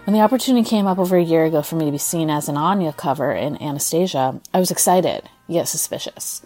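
Young American female performer, low register, expressive first-person storytelling, conversational read. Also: fast, faint music behind. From United States. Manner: expressive, conversational, acts out quoted dialogue. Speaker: stage performer. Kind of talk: reading a personal essay aloud, storytelling.

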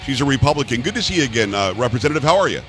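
A man hosting a political talk radio interview, who asks blunt, folksy questions in quick succession. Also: mid-range voice, big pitch swings, over music. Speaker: radio talk show host.

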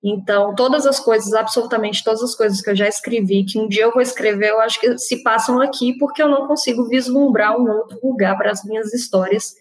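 A woman from Goiás talks earnestly about her own writing as a guest in an interview. Her voice sits somewhat high and she leans into her points with emphasis. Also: fast talker, hardly any pauses, poor audio. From Goiás, Brazil. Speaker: novelist guest. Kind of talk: casual panel interview.

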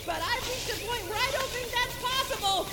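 A woman's voice: extremely high pitch, brisk, bright, forceful tone, slight background noise. A woman playing a polite, clumsy, talkative young male adventurer in an audio drama, wordy and politely understated. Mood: breezy nerves. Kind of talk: audio fiction acting.

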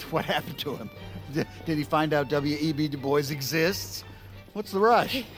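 A man's voice: fairly high pitch, a little slow, music in the background, natural voice. A man playing a jumpy, cynical, profane political insider who sputters in an audio drama. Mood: incredulous. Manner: incredulous, sputtering, sarcastic. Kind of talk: audio fiction drama acting.

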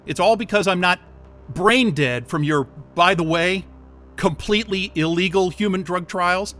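An agitated captive techie in an audio drama, high-pitched for a man and sharply tense. He is sarcastic and defiant, with anger and fear pushing at his words. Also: measured pace, pushed and bright, faint music behind. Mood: bitter sarcasm. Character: angry, sarcastic, panicked male software developer held captive.

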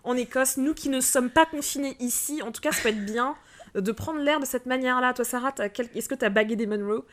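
A woman co-hosting a podcast in casual chat, hesitant and filling pauses often. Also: sits high. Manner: hesitant, many "euh" fillers. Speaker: podcast co-host.

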